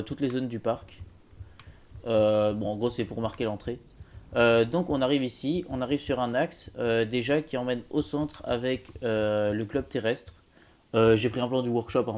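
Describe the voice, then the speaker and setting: Dark-voiced man, casual and halting. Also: mid-range voice. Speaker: host presenting his zoo build. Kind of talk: casual game build walkthrough commentary.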